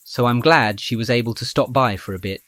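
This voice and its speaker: Man playing a measured, bookish young roommate. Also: medium pitch, a bit bright, no room sound, natural voice. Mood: friendly, thoughtful. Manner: measured, slightly formal phrasing. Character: blond young man, tidy bookish early-rising roommate, gently teasing. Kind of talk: audio drama acting.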